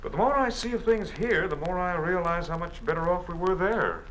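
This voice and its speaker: A genial, easygoing old friend of the family in a 1950s radio drama. His voice is lively in pitch and often chuckling. A faint hiss of an old broadcast runs under it. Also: fairly quick, low-quality recording. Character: friendly returning man, curious and genial.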